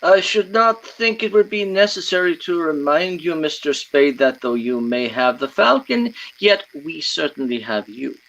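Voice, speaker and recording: A prissy, excitable villain's accomplice from an old-time radio play. His voice is high and bright with wide swings, every word precise, fussy and theatrical. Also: normal speed, vocal fry, hardly any pauses, rough recording. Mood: prim, needling. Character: prissy, excitable, sneering male criminal accomplice.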